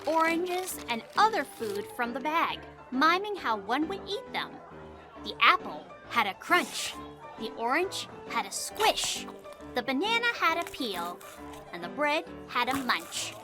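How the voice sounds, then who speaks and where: A woman reading scripted storybook narration for an audio drama in an expressive, dramatic storytelling manner. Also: sits high. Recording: music behind the voice.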